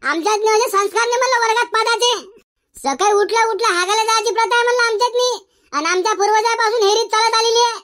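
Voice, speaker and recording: A man voicing a cheeky, boastful village schoolboy in a comedy cartoon, arguing in a Varhadi Marathi accent through a pitched-up effect. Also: fairly even intonation, fast, fairly bright tone. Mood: indignant protest. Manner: argumentative, indignant, backtalking.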